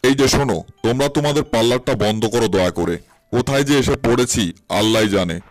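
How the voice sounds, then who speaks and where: A man's voice: mid-range voice, brisk, natural voice. A man voicing a cocky, grumbling parlour worker in a comic cartoon dub, with dramatic delivery. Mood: exasperated.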